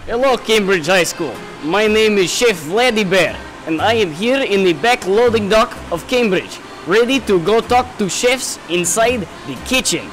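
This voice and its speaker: A man's voice: sits high, expressive, unhurried, hardly any pauses, fairly bright tone, faint music behind, rough recording. A man voicing a cheerful, food-loving chef puppet in a comedy sketch. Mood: cheerful, curious. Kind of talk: scripted puppet sketch tour.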